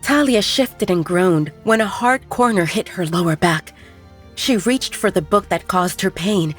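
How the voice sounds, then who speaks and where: A woman reading a fantasy story aloud in an even, measured way. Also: on the low side, faint music behind. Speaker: audiobook narrator. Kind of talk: scripted storybook narration.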